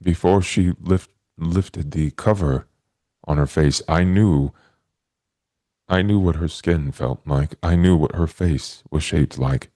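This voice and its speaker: A man plays a newly born man with a profoundly deep, soft-edged voice and a dry, close sound. He is childlike and literal, putting things in simple, halting, earnest phrases for a tabletop game. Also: dry recording. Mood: innocent, plaintive. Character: naive newborn man, gentle, childlike, literal-minded and puzzled. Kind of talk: in-character tabletop roleplay.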